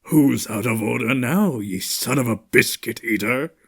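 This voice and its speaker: A man voicing a mischievous animatronic pirate fox for a video game, taunting in creaky pirate talk. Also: mid-range voice, a little slow, fairly bright tone, exaggerated voice. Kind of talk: video game character voice lines.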